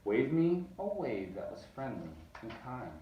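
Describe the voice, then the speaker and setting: A man reading a rhyming children's story aloud in an expressive, narrating way. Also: mid-range voice, a little slow. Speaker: storybook reader. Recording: roomy, distant, dull sound.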